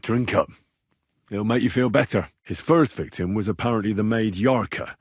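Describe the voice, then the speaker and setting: A man's voice: lowish voice, normal speed, husky, no echo, clean studio sound. A man playing a stubborn, earnest young man in a video game, speaking plainly and probingly as he investigates. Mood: coaxing. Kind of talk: video game character dialogue.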